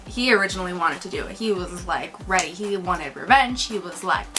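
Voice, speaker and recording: A woman's voice: lowish voice, lively intonation, brisk, music in the background. A woman co-hosting a casual movie review, talking informally and enthusiastically with slang.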